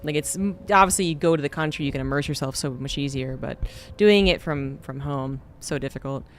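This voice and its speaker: A man's voice: fairly high pitch, fairly quick, slight background noise. A man streaming a game, chatting casually and rambling with lots of fillers. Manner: rambling, relaxed, many fillers. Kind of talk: casual gameplay chat.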